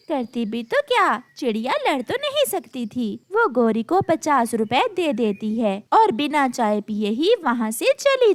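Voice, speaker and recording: A woman narrates a scripted children's story. Her voice is high and lively, with wide melodic movement. The recording is dry, with no room sound. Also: no echo.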